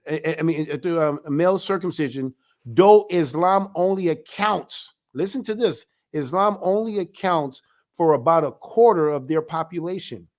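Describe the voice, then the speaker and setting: A man, an elder of a ministry, giving a religious teaching talk in an emphatic, animated way. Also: a little high, moderate pace. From United States.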